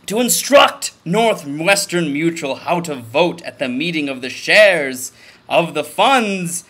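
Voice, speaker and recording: A man giving a mock-dramatic comedic reading of a formal document, with overblown emphasis and deadpan muttered asides. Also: sits high, breathy edge.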